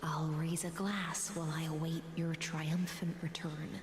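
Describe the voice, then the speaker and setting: Regal sea songstress in a game, deep slow voice, stately, echoey w music. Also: female voice, little pitch movement, a little hiss or hum. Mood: fond. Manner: stately, poetic, unhurried. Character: regal, fond ocean songstress, calmly protective. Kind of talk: dramatic video game voice acting. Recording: echoey long reverb, music behind.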